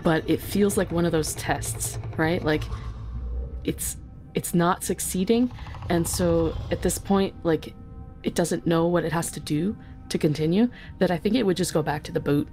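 A woman's voice: on the low side, normal speed, darkish tone, faint music behind. A woman guest on a gaming podcast, casually improvising a monster's moves and explaining them as she thinks aloud. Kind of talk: casual tabletop game improvisation.

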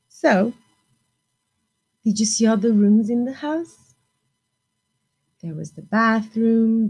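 A woman in her thirties hosting a children's storytime, reading expressively in simple, deliberate phrases. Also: mid-range voice, warm tone, lo-fi sound.

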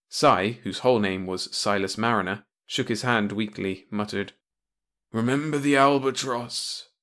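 A man's voice: fairly low pitch, fairly bright tone. A man reading a comic pulp science-fiction short story as scripted audiobook narration.

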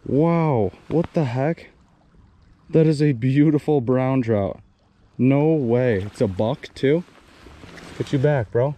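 A young man filming himself fishing a small wooded creek outdoors on an action camera, exclaiming excitedly to viewers as he lands fish. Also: mid-range voice, slow pace, long pauses. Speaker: fishing vlogger.

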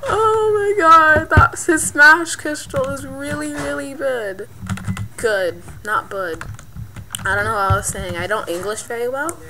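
Woman, gaming commentary, excitable, a bit high, loud keyboard clicks. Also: unhurried, noisy background. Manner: excitable, yelps and pleads mid-game. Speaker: gaming channel host. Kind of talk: live gameplay commentary. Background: keyboard and mouse clicks.

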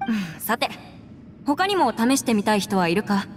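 A woman playing a thoughtful, upright legal adviser in a video game, speaking calmly and formally. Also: medium pitch, normal speed, in a large hall, music in the background, natural voice. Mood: composed, inquiring. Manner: calm, formal, earnest.

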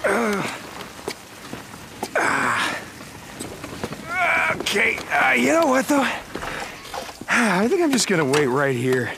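An actor playing a gruff, sarcastic outlaw biker in a video game, casual and profane in his talk. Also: sits high, sing-song, slow pace, pauses often, noisy background, exaggerated voice. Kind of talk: naturalistic video game acting.

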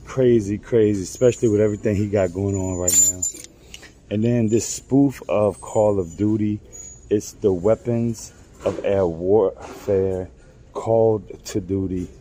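A middle-aged man chatting casually in a vlog, slangy and informal, with a raspy voice that is wearing out. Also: on the low side, steady tone, a little slow, mellow, a little hiss or hum. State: losing his voice. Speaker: vlogger and clothing reseller. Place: in stores, a parked or moving car, and a room at home.

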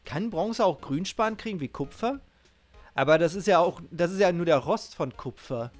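A man's voice: high voice, expressive, moderate pace. A middle-aged man giving casual game commentary on a headset, musing incredulously as he goes. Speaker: streamer. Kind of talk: casual game commentary, reading dialogue aloud. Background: game music.

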